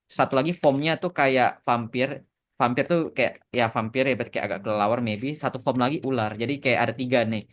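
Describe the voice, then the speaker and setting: A man's voice: mid-range voice, fairly quick. A man in casual podcast conversation, explaining things as a creator, slipping English phrases into his speech. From Indonesia. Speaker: animation creator answering questions on a podcast.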